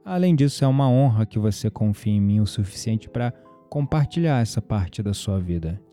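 A man playing a gentle, spiritual incense-shop keeper, courteous and teasing, in an audio drama. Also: fairly low pitch, animated, moderate pace, faint music behind, lightly acted.

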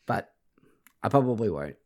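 Excitable man, croaky voice. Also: lowish voice, normal speed. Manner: excitable, swears freely. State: voice getting croaky. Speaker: podcast co-host. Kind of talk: casual gaming chat.

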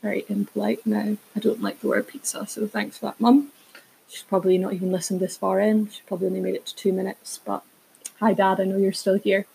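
A woman's voice: medium pitch, flat delivery, brisk, vocal fry, warm tone, slight background noise, rough recording. A woman, chatting casually as a solo podcast host in a rambling way with self-aware asides. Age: 20s.

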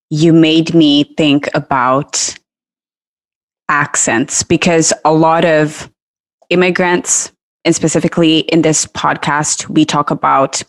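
A woman's voice: deep voice, no echo. A woman from Kenya co-hosting a podcast, talking in a casual, reflective and affirming way. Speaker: podcast co-host.

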